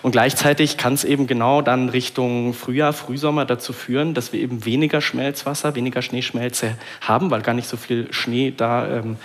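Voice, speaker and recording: Man, journalist, explanatory, mid pitch, some ums. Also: level tone, moderate pace, few pauses. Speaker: climate journalist. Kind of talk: expert explanation on a panel.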